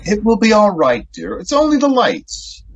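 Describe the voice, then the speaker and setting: Male actor as patient reassuring sweetheart, radio drama, husky voice, lively pitch swings, old-fashioned endearments. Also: moderate pace, exaggerated voice. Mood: soothing, cheerful. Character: reassuring, patient young sweetheart keeping his companion calm. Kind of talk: stage-like radio drama acting. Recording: dull, muffled lo-fi audio.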